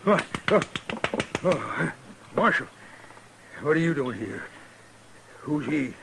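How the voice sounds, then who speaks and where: Slow-spoken, jumpy washed-up gunfighter. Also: male voice, fairly high pitch, lively intonation, vocal fry, fairly bright tone, exaggerated voice. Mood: groggy, jumpy. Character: jumpy, frightened washed-up gunfighter, defensive and broken. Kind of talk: old-time radio western acting. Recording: hissy old radio drama recording.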